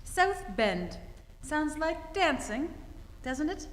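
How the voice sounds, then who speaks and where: A woman's voice: sits high, sing-song, takes it slowly, full character voice. A woman acting a haughty, witty heiress in a radio play, with an arch, mock-innocent manner. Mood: arch, teasing. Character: haughty, witty society heiress, playfully probing and imperious. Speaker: amateur stage actor. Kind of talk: radio play re-enactment on stage. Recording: echoey theatre hall, live stage.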